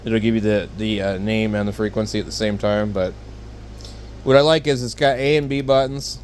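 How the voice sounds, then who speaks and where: A man giving casual, rambling, unscripted product talk as a video host, with a hesitant, halting delivery. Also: on the low side, steady tone, slight background noise. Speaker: hobbyist video host reviewing handheld radios.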